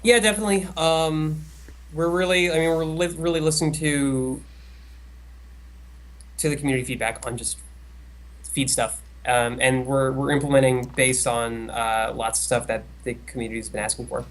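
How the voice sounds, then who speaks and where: A man's voice: mid-range voice, fairly even intonation, normal speed, fairly bright tone. A man co-hosting a casual podcast chat, talking in a hesitant, rambling way with many restarts. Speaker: co-host.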